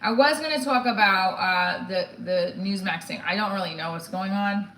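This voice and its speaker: A woman's voice: mid-range voice, moderate pace, fairly bright tone. Rambling woman in a roomy, echoey space. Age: 40s. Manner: rambling, blunt, candid, often breaking off mid-thought. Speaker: stand-up comedian livestreamer. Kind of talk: casual livestream chat. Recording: roomy echo, some background noise.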